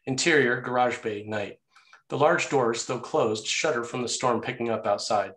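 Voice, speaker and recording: A man's voice: medium pitch, moderate pace, fairly bright tone. A man reading scene headings and stage directions as the narrator of a screenplay table read. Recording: roomy, echoey sound.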